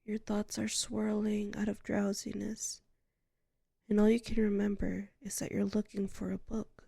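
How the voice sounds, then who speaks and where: A soothing female librarian hypnotist played by a woman murmurs a sleep ASMR roleplay right up at the mic. Her soft, breathy half-whisper is very slow and heavily creaky, in a dry space with no echo. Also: mid-range voice, monotone, heavily acted. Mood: soothing, drowsy. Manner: slow, soothing, hushed, lingering pauses. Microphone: close microphone.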